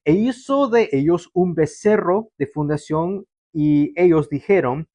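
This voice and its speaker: Young male pastor, Canadian-born, casual sermon delivery, slightly high voice, dry close sound. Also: measured pace, a little mellow, dry recording. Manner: conversational, with frequent fillers and restarts. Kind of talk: sermon to a livestream audience.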